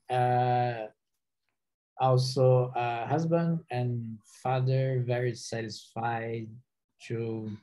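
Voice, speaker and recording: A man from Brazil, a software engineer introducing himself on a video call panel in a measured, halting way. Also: medium pitch, rough recording. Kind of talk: casual panel chat.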